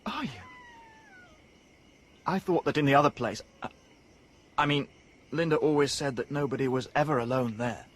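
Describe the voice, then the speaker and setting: A young man raised apart, lonely and earnest, wonder-struck by a world he has only dreamed of, as an actor plays him. His voice sits at an ordinary pitch and he talks with quiet, dreamy intensity. This is classic radio play acting. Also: moderate pace, pauses often, lightly acted. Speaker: the Savage. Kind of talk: BBC radio drama acting.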